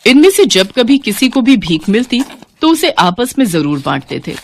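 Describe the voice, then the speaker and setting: A woman narrating a scripted children's story in an expressive storytelling style. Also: on the high side, normal speed.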